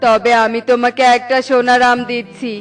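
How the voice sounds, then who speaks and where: Man as a flat, high-voiced wandering mystic. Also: fairly quick, faint music behind. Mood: benevolent. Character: wandering, grateful male mystic with magic powers. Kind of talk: children's cartoon dialogue.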